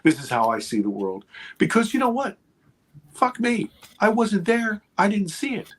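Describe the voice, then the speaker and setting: A man's voice: sits high, sing-song, normal speed, a little hiss or hum. A man in his fifties, a guest on a podcast, talking casually and with energy, swearing freely and checking in with "right?" and "okay". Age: 50s.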